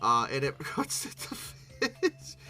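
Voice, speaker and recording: A YouTube host giving casual, humorous reaction commentary in a halting, bemused way, often repeating words. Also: medium pitch, wildly swooping pitch, pushed and bright, soft background music.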